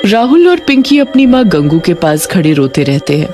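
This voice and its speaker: A woman's voice: on the low side, very animated, normal speed. A woman narrating a scripted cartoon horror story as its storyteller. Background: prominent background music.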